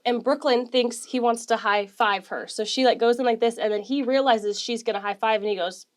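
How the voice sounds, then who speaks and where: A woman's voice: on the high side, steady tone, fairly quick. A young woman co-hosting a podcast, teasing her friend and explaining things matter-of-factly in casual chat. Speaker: podcast co-host.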